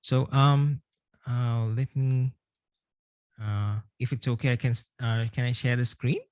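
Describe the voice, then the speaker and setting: A man's voice: medium pitch, steady tone, a little slow, a little mellow, smooth, no room sound. A man from Sri Lanka, giving an online presentation over a video call with many hesitations. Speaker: guest speaker.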